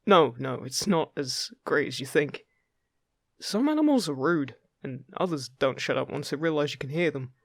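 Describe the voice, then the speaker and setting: Guy doing a friendly fairy guide in an audio roleplay, highish slightly creaky voice, encouraging and chatty. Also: animated, moderate pace, a bit bright, no room sound, clean studio sound. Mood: playful, instructive. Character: friendly, upbeat fairy guide teaching magic at a festival. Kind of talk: intimate scripted roleplay addressed to the listener.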